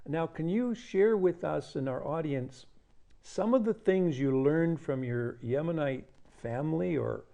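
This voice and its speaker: A man's voice: on the high side, a little slow, creaky, dark tone. A man hosting a conversational TV interview, explaining things to viewers and affirming his guest with brief agreement. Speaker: TV interviewer and host.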